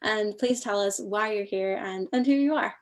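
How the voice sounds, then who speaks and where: A woman's voice: medium pitch, normal speed. A young woman from Northern Ireland hosting an online panel discussion, thoughtful and a little hesitant. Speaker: panel host.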